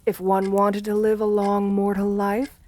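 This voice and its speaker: A woman's voice: medium pitch, monotone, measured pace, darkish tone, slight background noise, natural voice. A woman playing a seductive, assertive countess in an intimate ASMR roleplay, speaking in a genteel, soothing, flirtatious way. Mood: genteel, coaxing.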